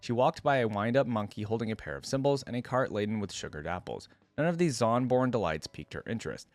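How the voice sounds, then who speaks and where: A man's voice: on the low side, expressive, quick pace, dry recording. A man hosting a reaction video, reading a story aloud and commenting on it casually.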